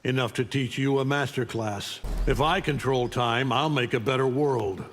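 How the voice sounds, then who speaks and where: Guy playing scornful domineering supervillain conqueror, game dialogue, mid pitch, big echoey reverb. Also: moderate pace, a little hiss or hum, natural voice. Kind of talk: video game character dialogue. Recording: long hall reverb.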